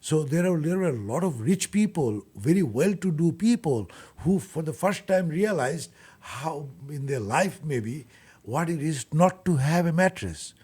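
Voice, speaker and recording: Husky, halting Bangladeshi man, higher-pitched voice. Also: expressive, darkish tone. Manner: halting, repeats words, earnest. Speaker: guest, charity furniture bank leader. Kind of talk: radio interview, explaining his work.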